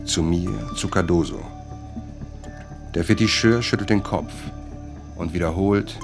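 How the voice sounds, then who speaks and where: An actor playing a brooding, world-weary narrator in a radio play, speaking deliberately and dramatically in a gravelly voice. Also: male voice, low voice. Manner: deliberate, dramatic, short phrases. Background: music.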